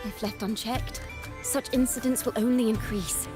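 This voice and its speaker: Woman playing a high-voiced theatrical young female bard. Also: fairly even intonation, normal speed, lightly acted. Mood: earnest. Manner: theatrical, lyrical. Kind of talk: video game voice acting. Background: game music.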